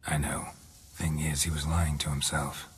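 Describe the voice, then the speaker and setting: An actor playing a weary, sardonic middle-aged monster hunter in a video game, with a low gravelly voice and deadpan delivery. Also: male voice, moderate pace, heavily acted. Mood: quietly serious. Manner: deadpan, dry.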